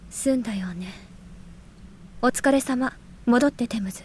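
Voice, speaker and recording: A woman playing a cold, melancholic young witch in a visual novel, speaking in a quiet, breathy murmur. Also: fairly high pitch, fast, slight background noise, close to an everyday voice. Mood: cool, detached. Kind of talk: Japanese visual novel voice acting.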